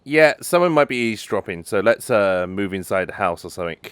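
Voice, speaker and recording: Male let's-play host: informal, lively intonation, mid pitch, dry close sound. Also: brisk, clean tone, dry recording. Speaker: gaming video host. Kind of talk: casual let's-play commentary.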